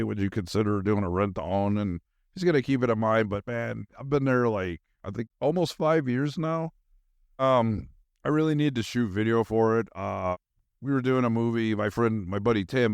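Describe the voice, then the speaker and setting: A man's voice: on the low side, clear, resonant tone, no room sound, studio quality. A middle-aged man with an American accent, hosting a podcast in a casual, rambling, slangy monologue. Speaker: podcast host.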